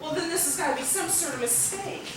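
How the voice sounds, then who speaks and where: A woman's voice: very high voice, quick pace. A woman playing a feisty, blunt young rebel in a stage play. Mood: disbelieving. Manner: blunt, animated. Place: theatre stage, picked up from a distance. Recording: echoey, noisy stage videotape from far off.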